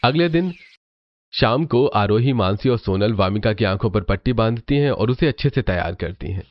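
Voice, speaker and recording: A man's voice: on the low side, animated, normal speed, no echo. A man narrating a scripted cartoon family story as the storyteller, on a slightly dull recording.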